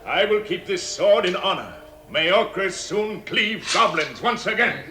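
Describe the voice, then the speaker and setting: An actor playing a proud, grave dwarf leader with a formal, declaiming manner in a 1960s radio drama. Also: male voice, sits high, animated, a little slow, pushed and bright, faint music behind, full character voice.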